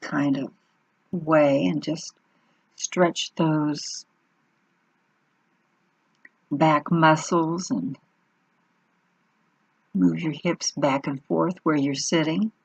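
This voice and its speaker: A woman leads a guided meditation at a slow, unhurried pace, leaving pauses between short phrases. Her voice is husky and full of fry. The recording is muffled and dull. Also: low voice, steady tone, no echo.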